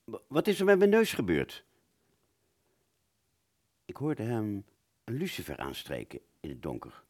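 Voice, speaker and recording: A man playing a wounded British fighter pilot, telling his story in a radio play with a husky voice and halting, stammering delivery. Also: on the low side, huge pitch swings, exaggerated voice. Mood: dazed, pained. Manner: halting, stammering, repeats words. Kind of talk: first-person dramatic narration in a radio play.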